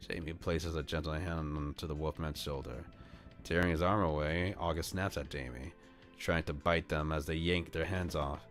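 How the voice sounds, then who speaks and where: A man with a very deep voice narrates a visual novel on a live stream. His delivery moves between storytelling and casual streamer talk. Faint music plays behind him. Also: steady tone, normal speed. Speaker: VTuber streamer reading a visual novel aloud. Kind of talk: live read-aloud narration with casual asides. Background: background music.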